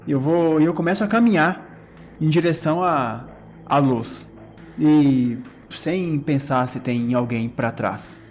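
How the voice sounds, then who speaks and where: A man playing a tabletop game, narrating his character's actions in the first person, halting and repeating phrases. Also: on the high side, slight background noise. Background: faint music.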